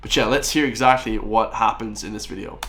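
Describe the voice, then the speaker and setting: A man's voice: on the low side, a little fast, background noise. A man hosting a reaction video, blunt and emphatic, repeating himself and laughing now and then. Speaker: YouTube reaction host. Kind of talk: reaction commentary.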